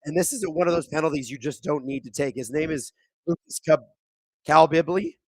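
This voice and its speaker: A man with a thin, raspy, hoarse voice hosts a live hockey watchalong, chatting casually, reading viewer comments and calling plays excitedly. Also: fairly high pitch, fairly quick, no echo. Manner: casual, reads viewer chat aloud; excitable play-by-play on big moments. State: hoarse, voice squeaking. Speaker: watchalong host. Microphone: streaming microphone.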